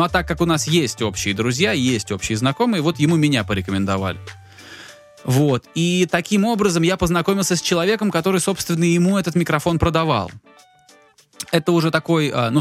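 A man casually telling a long story in a podcast chat, rambling and full of fillers. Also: medium pitch. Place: Tbilisi.

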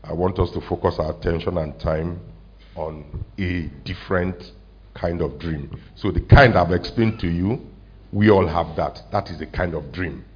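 A deep, low-pitched pastor delivers a conversational sermon, asking his congregation questions and slowing down to let key points land. He is recorded in a church hall, and the sound is muffled and a little lo-fi. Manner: conversational, asks the congregation questions, slows for emphasis.

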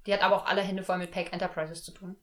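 A woman's voice: fairly low pitch, little pitch movement, rapid-fire, very noisy. A woman co-hosting a casual podcast, joking around and laughing often as she plays with words. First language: German. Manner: giggly, playful wordplay. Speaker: podcast co-host. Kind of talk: casual chat.

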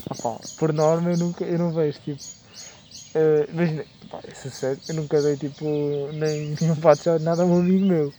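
A man's voice: fairly high pitch, fairly even intonation, fairly quick, mellow, a little hiss or hum. A young man from Portugal, a university student, with a European Portuguese accent, rambling with fillers as a podcast guest. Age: young adult. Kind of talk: casual chat.

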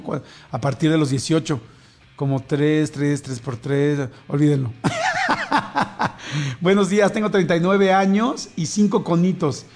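Jokey high-voiced Mexican radio host. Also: male voice. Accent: Mexican Spanish. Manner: jokey, laughs often, rattles off messages fast. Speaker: radio show host reading listener messages. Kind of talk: casual morning radio banter.

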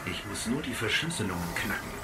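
A man's voice: lowish voice, animated, a little fast, over loud music, natural voice. A man voicing a small, eager, analytical machine companion in a sci-fi audio drama, speaking expressively. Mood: focused, analytical.

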